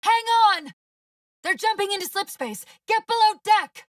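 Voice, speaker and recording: A woman's voice: very high voice, expressive, unhurried, a bit bright, long reverb tail, full character voice. A woman playing a witty, urgent, fiercely loyal female AI companion in a video game, with a wry and dramatic delivery. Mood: urgent, alarmed.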